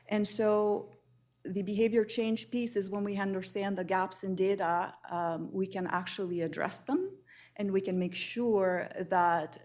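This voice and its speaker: A woman from Albania speaking as a panelist on justice and international development, earnest and measured, building her points in lists. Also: mid-range voice, a little mellow. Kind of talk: panel discussion remarks.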